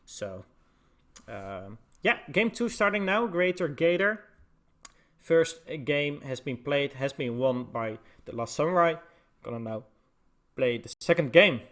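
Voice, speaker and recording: A man's voice: a little high, sing-song, unhurried, a bit bright. A man with a Dutch accent, from the Netherlands, casting a live esports match in a loose, chatty way with many filler words. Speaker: esports caster. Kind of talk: casual live stream commentary.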